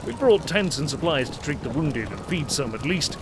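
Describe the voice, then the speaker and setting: A man's voice: on the high side, very animated, normal speed, background noise. A man playing a dutiful cavalry captain of fantasy knights in an audio drama, formal, courteous and military in manner. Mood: earnest, courteous.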